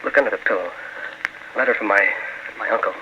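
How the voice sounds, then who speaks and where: A man playing a gravely ill young soldier in a 1940s radio drama, weak and faltering. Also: on the low side, fast talker, lightly acted. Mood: feeble. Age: young adult. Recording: muffled, hissy old radio recording.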